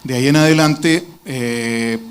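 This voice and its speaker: A man stands before a congregation and recounts his life in an earnest, reflective way. His voice sits at an ordinary middle pitch. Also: measured pace, clear, resonant tone. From Colombia. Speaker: church member giving a testimony. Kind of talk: personal testimony in church.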